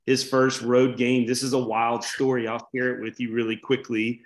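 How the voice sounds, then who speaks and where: A male sports broadcaster spinning an easygoing story as a podcast guest. His voice sits at an ordinary middle pitch and rings in a roomy, echoey space. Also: flat delivery, moderate pace. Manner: easygoing anecdote-teller. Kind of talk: storytelling in casual chat. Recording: roomy echo.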